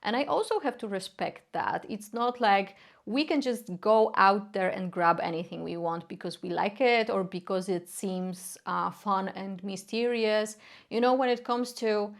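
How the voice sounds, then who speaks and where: Polish-accented young woman, earnest, halting fillers, mid-range voice, casual explainer. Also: mid-range voice, creaky. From Poland. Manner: earnest, frequent filler sounds. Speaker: folk magic educator. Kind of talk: casual explanatory talk to camera.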